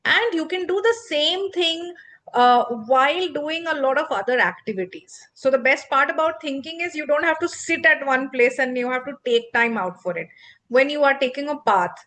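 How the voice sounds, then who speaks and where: A woman whose first language is not English, hosting a podcast and explaining English fluency in an expressive, emphatic teacherly way. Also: sits high, moderate pace. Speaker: podcast host and English coach. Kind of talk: instructive podcast conversation.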